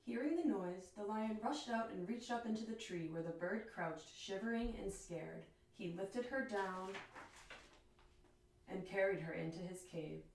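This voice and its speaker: A woman reads a picture book aloud in a very echoey room, a little away from the mic. She sounds like a teacher with young listeners, phrasing each line expressively and deliberately. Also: mid-range voice, slight background noise. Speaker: teacher reading aloud to children. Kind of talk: children's storybook read-aloud. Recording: echoey room, distant microphone.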